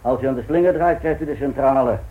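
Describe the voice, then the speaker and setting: A man's voice: mid-range voice, fast talker, lightly acted. An actor playing an obliging, plain-spoken village inn man in a Dutch radio play, chatty and folksy. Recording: dull old radio recording.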